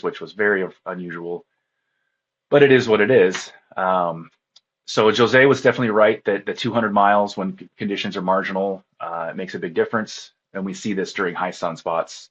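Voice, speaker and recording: Guy with a deep kinda husky voice chatting in an interview, telling stories, bit muffled audio. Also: a little fast, a bit bright. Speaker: interview guest, ham radio contest operator. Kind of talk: casual interview storytelling.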